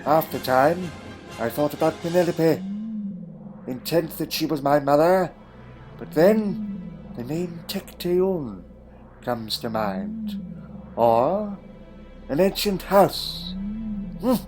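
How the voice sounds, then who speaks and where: Male actor as a troubled, proud Time Lord for audio drama; slightly high voice, old-fashioned wording, melancholy and guarded, over faint music. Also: lively intonation, slow, long pauses, a little mellow. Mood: wistful, haunted.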